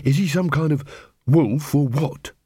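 A man voicing a wary, sharp-witted female werewolf in dog form, questioning and sardonic, for an audiobook. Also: a little high, unhurried, husky, no echo, studio quality, pitched above the performer's own voice. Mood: probing. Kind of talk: audiobook character voice.

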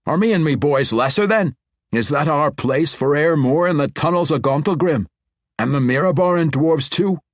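A man voicing a boisterous, gruff male wild dwarf leader with a hearty, folksy brogue. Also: mid-range voice, animated, moderate pace, dry recording, studio quality, voice pushed up, close to an everyday voice. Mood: indignant. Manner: hearty, folksy dwarven brogue, loud calls.

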